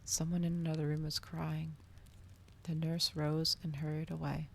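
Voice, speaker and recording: A woman reading a novel aloud in a hushed ASMR style, softly and breathily, often slipping toward a whisper. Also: low voice, level tone, moderate pace, vocal fry, slight background noise.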